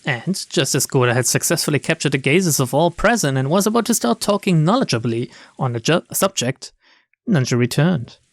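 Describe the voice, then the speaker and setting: A man's voice: a little high, animated, a little fast, no room sound. A man reading game narration aloud and adding casual, wry commentary, informal in manner. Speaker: video game streamer, narrator.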